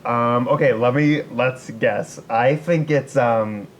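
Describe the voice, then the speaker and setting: A man co-hosting a podcast in loose, unscripted chat, jokey and thinking out loud. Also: mid-range voice, slow, slight background noise. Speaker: podcast co-host.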